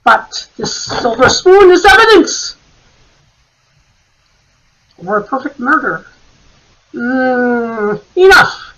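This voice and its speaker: A shrewd, brisk-minded police sergeant played by a woman in a radio mystery. The voice is high and swings widely, with theatrical musing between questions. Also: sing-song, a bit bright, exaggerated voice, rough recording. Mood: musing, knowing.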